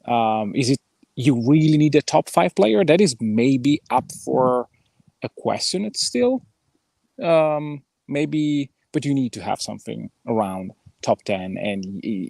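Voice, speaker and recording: This man has a creaky, gravelly edge to his voice and a dry sound with little room to it. He joins a sports podcast remotely as co-host. His analysis is casual but measured, coming out in halting, non-native English phrasing. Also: mid-range voice, expressive, a little mellow, dry recording. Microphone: remote call over mobile connection.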